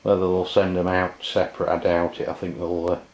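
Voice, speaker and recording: A man reviews a product off the cuff. His delivery is halting and informal, feeling its way from point to point. The sound is dull and lo-fi, like an amateur video. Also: low voice, little pitch movement, darkish tone. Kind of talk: casual unscripted review.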